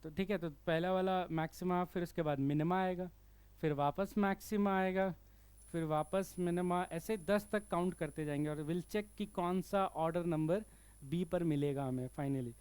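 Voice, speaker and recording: A man with an Indian accent and a fairly high, smooth voice teaches physics in an explanatory lesson. He goes step by step and keeps checking in with the listener. The sound is close and dry. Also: moderate pace, a little mellow, no echo. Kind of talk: explanatory problem-solving lesson.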